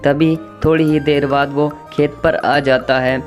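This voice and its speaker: A man's voice: a little high, flat delivery, measured pace, soft background music. A man narrating a scripted children's story.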